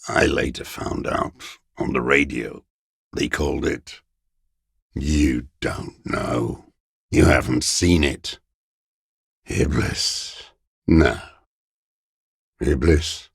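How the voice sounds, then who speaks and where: An embittered old male revolutionary, the last defender of a lost cause. His voice is extremely low and full of gravel, and he speaks slowly. He declaims like a haunted video game ideologue, proud and accusing. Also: no room sound, studio quality, full character voice. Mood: bitter, accusing. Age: older. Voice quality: gravelly, raspy, worn low voice. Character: bitter old communist deserter, last defender of a fallen commune. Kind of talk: dramatic video game voice acting.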